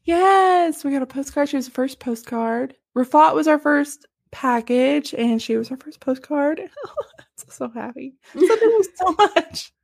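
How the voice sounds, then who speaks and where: A woman with a Southern US accent co-hosting a casual podcast, animated and quick to laugh. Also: sits high, creaky. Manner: giggly, animated, laughs often. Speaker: podcast co-host. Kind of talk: casual podcast chat, livestreamed.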